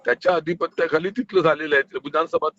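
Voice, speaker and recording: A man's voice: a little high, fast talker, fairly bright tone. A man giving defensive, placating, often repeated replies on a lo-fi recorded phone call. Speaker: political party liaison official.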